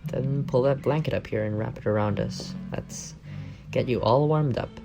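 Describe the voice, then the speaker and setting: A man's voice: medium pitch, normal speed, vocal fry, music in the background, close to an everyday voice, poor audio. A young man playing an affectionate, teasing roommate with a secret crush in a casual, intimate ASMR roleplay. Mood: tender, coaxing. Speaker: voice actor in audio roleplay.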